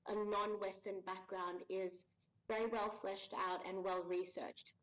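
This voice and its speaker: A woman voice actor being interviewed as a guest, explaining her work in a measured, thoughtful way with many pauses. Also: mid-range voice, little pitch movement, fairly bright tone. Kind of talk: casual interview chat. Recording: dull lo-fi sound.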